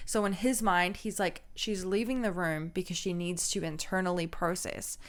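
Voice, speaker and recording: A young woman with a low, loud voice, co-hosting a casual marriage advice chat and telling stories animatedly. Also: normal speed. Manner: animated storytelling, acts out voices and quotes with laughs. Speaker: co-host of a marriage advice channel.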